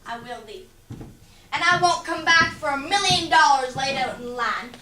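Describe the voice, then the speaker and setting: Woman acting a brash drunken mother, high and sharp. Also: expressive, normal speed. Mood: bitter, needling. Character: bitter, drunken, wounded grieving mother, brash and needling. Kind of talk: theatrical stage acting. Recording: echoey stage, distant mic, faint noise.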